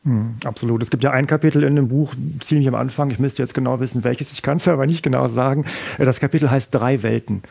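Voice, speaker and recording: A man, bilingual with Italian as his first language, answering questions about his debut novel in a measured way, pausing often with fillers. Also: medium pitch, fairly even intonation, vocal fry, darkish tone, husky. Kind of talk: thoughtful interview answers.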